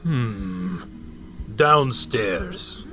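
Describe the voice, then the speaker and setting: A man plays a brooding hunter villain from a superhero drama. He speaks extremely slowly in terse, deliberate bits, heavy with menace. There is faint music behind him. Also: on the low side, lively intonation, sharp tone, exaggerated voice. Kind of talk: dramatic script table read.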